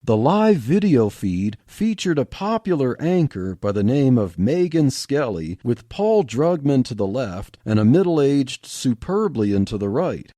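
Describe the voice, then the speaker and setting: A man's voice: medium pitch, very animated, a little slow, few pauses, dark tone, no echo, clean studio sound. A man reading story narration for a fiction podcast in plain storytelling manner. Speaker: podcast reader.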